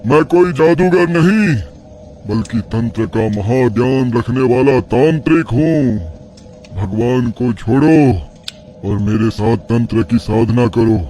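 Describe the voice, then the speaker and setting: A man's voice: medium pitch, warm tone. A man voicing an arrogant old tantric sorcerer in a horror audio story, boastful and taunting. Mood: boastful, persuasive.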